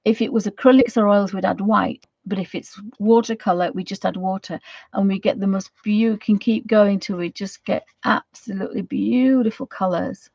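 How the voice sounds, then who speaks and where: A woman with a croaky voice from a cold, chatting casually as she gives a painting tutorial and rambling with many ums. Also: mid-range voice, fairly even intonation, normal speed, a little mellow, dry recording. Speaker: art channel host. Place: home studio. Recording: dry, slightly dull sound.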